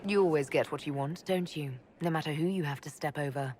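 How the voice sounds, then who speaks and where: An actress playing an elf mage leader in a game, measured, dry and resolute. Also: female voice, sits low, darkish tone, close to an everyday voice. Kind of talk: dramatic game acting.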